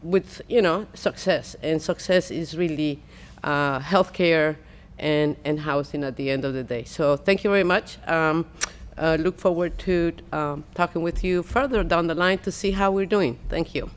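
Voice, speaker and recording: A woman, a county health official, giving press conference remarks in a deliberate way with many pauses and fillers, at a podium mic in a large hall. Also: deep voice.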